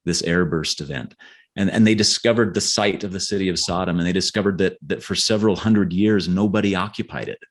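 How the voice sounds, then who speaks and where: Very low-voiced man, halting explainer. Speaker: author interviewed as a podcast guest. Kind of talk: conversational interview, explaining history and his novels.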